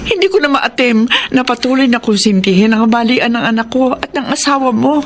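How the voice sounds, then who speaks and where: A woman's voice: on the high side, animated, a little fast, lightly acted. A woman playing a humble, guilt-ridden middle-aged mother in a melodrama, pleading hesitantly in a Filipino accent. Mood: defensive. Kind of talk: melodramatic radio drama acting. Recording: noticeable background ambience noise.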